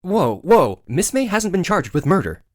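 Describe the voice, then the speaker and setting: A man's voice: high voice, very animated, normal speed. An actor voicing an exasperated but polite young male defence lawyer from a video game, with hesitant, sardonic asides. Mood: bewildered. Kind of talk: dramatized video game dialogue.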